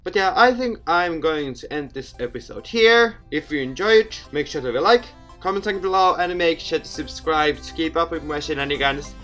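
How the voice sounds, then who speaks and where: A man's voice: on the high side, expressive, normal speed, hardly any pauses, fairly bright tone, faint music behind. A young man hosting a gaming video, reading a visual novel aloud theatrically with casual asides. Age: young adult.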